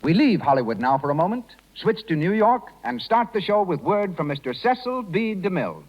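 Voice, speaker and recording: A man with a high, lively American voice announces a 1930s radio program. His delivery is formal and proclaiming, made for introductions and sponsor copy. Music plays prominently on a dull old broadcast recording. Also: brisk.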